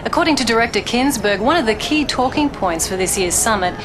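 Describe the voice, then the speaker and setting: Casting: actress for a woman TV correspondent in a game cutscene, higher pitch, noisy location. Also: lively intonation, brisk, lightly acted. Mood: businesslike. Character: female television field reporter. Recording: clear outdoor location noise.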